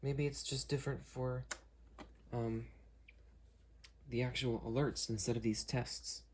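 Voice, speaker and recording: A man's voice: mid-range voice, fairly even intonation, a little slow, background noise. A man hosting an unboxing video, talking casually and hesitantly as he works through a product demo. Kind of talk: casual unscripted product demo with friends on a call.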